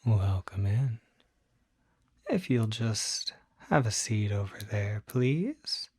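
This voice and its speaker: A courteous, reassuring demon doctor, played by a man in an ASMR roleplay. The delivery is very slow and gentle, with a politely formal bedside manner that often slips toward a whisper. The tone is dark and relaxed, captured close and dry. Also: on the low side, husky, no echo, exaggerated voice. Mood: gentle, welcoming. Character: courteous, soft-spoken male demon doctor, fussy and reassuring. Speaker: doctor at a supernatural clinic.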